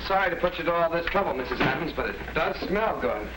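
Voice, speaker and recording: An actor playing a polite, eager young American house guest in a 1950s sitcom-style film. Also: male voice, on the high side, expressive, fast talker, natural voice. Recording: noisy vintage 1950s film soundtrack.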